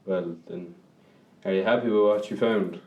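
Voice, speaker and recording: A man's voice: sits low, normal speed, darkish tone. A man playing a weary, caring Irishman in naturalistic radio drama, speaking plainly and reflectively, with some hesitation, in a roomy echo. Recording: roomy, echoing sound.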